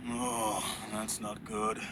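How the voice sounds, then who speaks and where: A man's voice: fairly low pitch, monotone, slow pace, background noise. A man playing a mild, worried innkeeper in a video game, speaking politely and plaintively. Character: mild, worried male innkeeper of a quiet inn.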